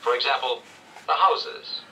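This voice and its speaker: A man reading scripted 1970s educational narration with measured, clear storytelling phrasing. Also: medium pitch, expressive, recorded from a distance, crowd noise, rough recording. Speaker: read-along narrator. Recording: old read-along played back from afar, faint noise.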